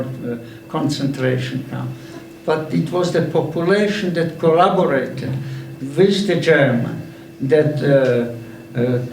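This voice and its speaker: Old guy, slow halting talk, gravelly, echoey room from far off. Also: mid-range voice, slight background noise. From Slovakia. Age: 80s. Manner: halting, with frequent pauses and fillers. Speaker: guest speaker. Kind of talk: public talk answering questions. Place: public event room.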